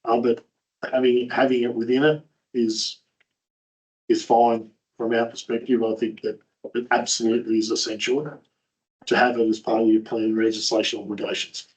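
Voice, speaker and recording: A man council director answering a question in a measured way at a meeting. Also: mid-range voice, level tone, poor audio. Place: council chamber.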